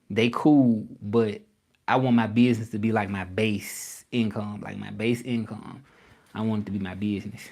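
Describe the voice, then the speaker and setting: A man's voice: fairly low pitch, a little mellow. Man chatting casually to livestream viewers. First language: English. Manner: chatty, repetitive, addresses viewers as y'all. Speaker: livestreaming creator and language tutor.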